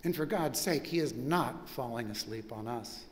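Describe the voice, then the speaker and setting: An older man, a pastor, preaching a measured, reflective sermon with long pauses in a large church sanctuary. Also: mid-range voice, lively intonation, big-room sound, a little hiss or hum.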